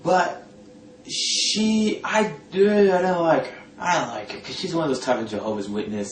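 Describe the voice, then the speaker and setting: A man telling a personal story informally in a sardonic, gossipy, coarse-mouthed and animated way. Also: a little high, normal speed. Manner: animated, breaking often into laughter. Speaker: storyteller talking about a past religious community. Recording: roomy echo, distant mic, faint noise.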